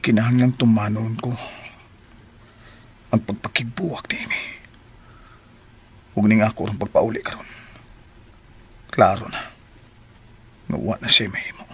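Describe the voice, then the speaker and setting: An actor in a radio drama playing a resolute, bitter betrayed husband in a brooding monologue. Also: male voice, on the low side, steady tone, unhurried, long pauses, a little hiss or hum.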